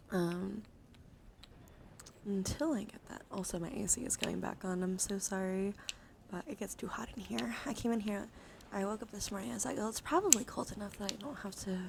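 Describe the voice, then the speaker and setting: A woman doing live ASMR in a soothing, intimate, encouraging way, drifting between breathy whispers and soft speech. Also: mid-range voice. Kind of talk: ASMR, whispered and softly spoken reassurance. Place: small warm room with computers running. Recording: noisy room ambience behind voice.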